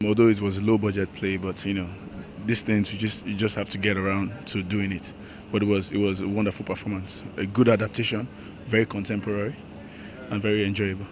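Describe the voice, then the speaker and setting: A man's voice: lowish voice, little pitch movement, brisk, a little hiss or hum. A man giving a casual interview as an audience member.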